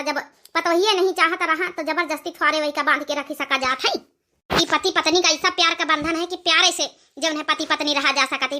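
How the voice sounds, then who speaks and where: A woman's voice: high voice, bright, forceful tone. A woman voicing an old, honour-minded village mother-in-law in a comic cartoon, with a plaintive, dramatic manner and an Awadhi accent. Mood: resigned.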